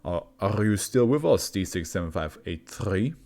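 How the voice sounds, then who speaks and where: Man playing a male research doctor, low voice, formal, clinical, detached, polite, audio story experimenter. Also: expressive, normal speed, darkish tone. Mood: clinical, composed.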